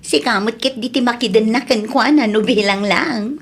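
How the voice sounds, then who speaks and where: A woman's voice: a little high, moderate pace, husky. A woman playing a blunt, nosy character in an Ilocano radio comedy drama, speaking with an Ilocano accent. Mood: teasing, nosy.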